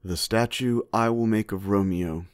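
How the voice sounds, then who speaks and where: A man's voice: lowish voice, unhurried, no room sound, clean studio sound, natural voice. A man playing a mournful old lord making peace with a rival in a modernized Shakespeare audio drama. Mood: sorrowful.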